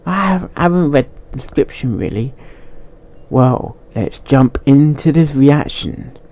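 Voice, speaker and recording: A man hosting a reaction video with casual commentary, given in halting, musing asides. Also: mid-range voice, animated, warm tone, highs cut off. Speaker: host of a fanfic reaction video.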